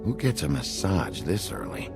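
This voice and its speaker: An actor playing a gruff, weary, hot-tempered sheriff in a video game, terse and dry, with a gravelly voice. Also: male voice, low voice, sing-song, normal speed, over music, heavily acted. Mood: dry, guarded. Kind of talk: video game cutscene acting.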